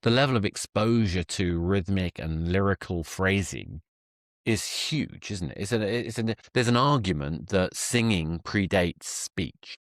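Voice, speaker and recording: Low-voiced male podcast host, dry sound. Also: a little slow, no echo. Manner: discursive, summarises and reflects. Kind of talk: conversational interview.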